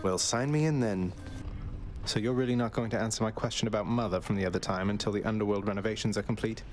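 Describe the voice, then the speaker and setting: A man's voice: lowish voice, fast, darkish tone, faint music behind, natural voice. A man playing a defiant, sardonic young underworld prince in a video game, with a dry, casual delivery. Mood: determined. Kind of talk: video game character acting.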